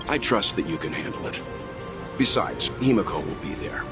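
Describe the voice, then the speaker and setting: An actor playing a calm, courteous mentor in a video game, explaining things in a polite, measured way. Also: male voice, low-pitched. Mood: calm, reassuring. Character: composed, courteous gentleman, calm older mentor of the group. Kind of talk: scripted video game voice acting. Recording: loud music behind the voice.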